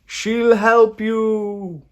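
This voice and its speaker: A man reading a storybook aloud, voicing a grand wizard who speaks in a loud, commanding way. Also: high-pitched, little pitch movement, very slow, clear, resonant tone, pitched above the performer's own voice.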